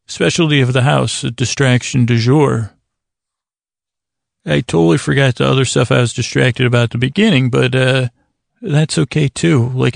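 A man hosting a bedtime podcast in a halting, meandering monologue, repeating himself, with a gravelly voice close to the microphone. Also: medium pitch, no echo. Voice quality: gravelly, creaky. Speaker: podcast host. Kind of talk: rambling bedtime monologue to help listeners sleep.

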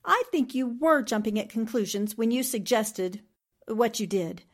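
A woman's voice: a little high, moderate pace, lightly acted. An actress playing a shrewd, self-assured newspaperwoman with a questioning manner in a 1940s-style radio drama. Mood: probing, assured. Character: composed, shrewd, assertive newspaperwoman sleuth.

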